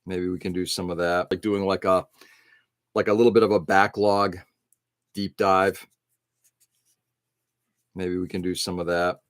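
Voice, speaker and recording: A man's voice: deep voice, little pitch movement, a little slow, long pauses, no echo, studio quality. A middle-aged man hosting an early-morning live stream, rambling casually and answering viewers' chat comments.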